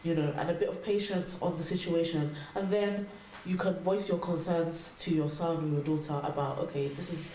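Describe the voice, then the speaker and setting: A young adult woman explaining her view in a measured way as a guest in a casual panel discussion. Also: on the low side, fairly even intonation, warm tone. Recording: echoey room, faint noise.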